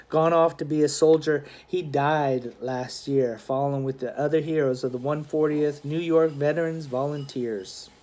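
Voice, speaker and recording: A man reading a children's adventure novel aloud as scripted narration, in a dramatic, expressive storytelling manner. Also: mid-range voice. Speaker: audiobook narrator, schoolmaster.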